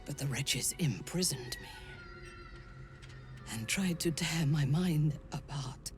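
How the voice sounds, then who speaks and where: An actor playing a proud, stern woman warrior commander in a video game, formal and measured, coldly set on vengeance. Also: mid-range voice, lively intonation. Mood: wounded pride. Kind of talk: video game dialogue acting. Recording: music under the voice.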